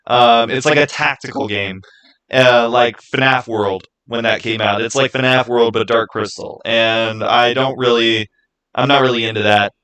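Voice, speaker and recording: A man's voice: mid-range voice, steady tone, unhurried, sharp tone, clear, resonant tone. A man hosting a livestream, chatting casually and answering viewers in a rambling, conversational way. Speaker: livestream host.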